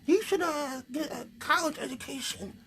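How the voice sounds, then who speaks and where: A sassy little girl puppet, acted out by a man, speaks in a high, squeaky pitch for a children's show. She is blunt and quick to tease. There is some background noise. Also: fairly bright tone. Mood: cheeky.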